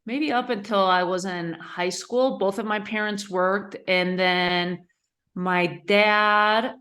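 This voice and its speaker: A woman raised in Spain who is a guest on a podcast. Her voice is bright and sharp. She answers reflectively, pausing and correcting herself as she works through her thoughts. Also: mid-range voice, steady tone. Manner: thoughtful, hesitant, with fillers and self-corrections. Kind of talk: podcast interview.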